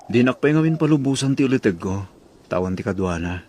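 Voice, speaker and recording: A man's voice: mid-range voice, lively intonation, fairly quick, dark tone, slight background noise, lightly acted. A man playing a kind, courteous, easygoing young suitor in an Ilocano audio drama, with an Ilocano accent. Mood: friendly. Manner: forgiving, easygoing.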